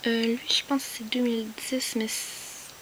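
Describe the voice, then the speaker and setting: A woman with a Québécois French accent, whispering softly as she shows off her collection, with many hesitant fillers. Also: on the high side, flat delivery, moderate pace, a little hiss or hum, low-quality recording. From Quebec. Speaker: collector showing finds. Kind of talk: soft whispered show-and-tell.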